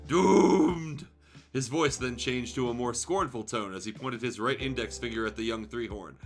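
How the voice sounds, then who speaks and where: A man's voice: medium pitch, brisk, fairly bright tone, soft background music. A man streaming a fan fiction read-aloud, switching between narration and wry, sarcastic, sweary commentary.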